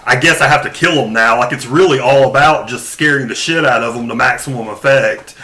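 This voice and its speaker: A male podcast panelist with a voice of average pitch. He speaks casually, with animated, rambling explanations in a relaxed film discussion. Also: fairly quick.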